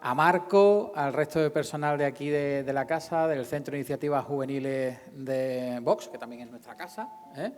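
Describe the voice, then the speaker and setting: A man's voice: medium pitch, normal speed, hardly any pauses. A man hosting an informal presentation, speaking effusively with hesitant, repeated phrasing. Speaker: event host and narrator of a radio serial. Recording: echoey hall with long reverb, faint music.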